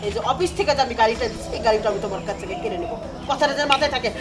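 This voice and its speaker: A woman playing a bossy, excitable rich grandmother with a Bangladeshi accent, scolding and commanding in a web drama. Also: a little high, very fast, noisy background, natural voice. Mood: bossy, impatient. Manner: scolding, commanding, mixes in English phrases. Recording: music over outdoor dialogue.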